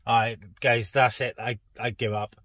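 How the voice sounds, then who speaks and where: A man's voice: medium pitch, little pitch movement, normal speed, fairly bright tone, no room sound. A man chatting casually as a CB radio operator, speaking colloquially and repeating phrases, inside a parked car.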